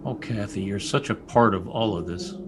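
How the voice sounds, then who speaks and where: A man's voice: lowish voice, normal speed, lightly acted. A man acting a brooding, jealous young stable boy with intense delivery in an old-time radio drama. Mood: brooding, tender. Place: indoor, remote video-call session. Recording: noisy remote video-call audio.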